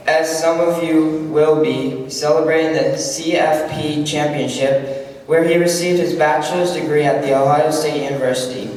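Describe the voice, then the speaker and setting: A man's voice: fairly high pitch, a little mellow, slight background noise. Teen boy reading a formal introduction. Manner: formal, earnest, slightly stumbling. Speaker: student introducing the speaker. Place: large school hall. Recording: echoey hall from far off.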